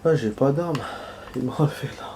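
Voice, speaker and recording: A man's voice: mid-range voice, a little fast, mellow, slight background noise. A man with a cold and a nasal voice, giving casual commentary while playing a video game, rambling with many fillers and restarts. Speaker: gaming video host.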